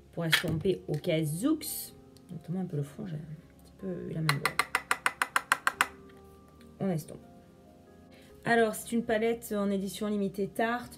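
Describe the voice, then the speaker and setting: A woman's voice: mid-range voice, a little mellow. A young woman chatting through a makeup tutorial, explaining each step as she works. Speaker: beauty vlogger.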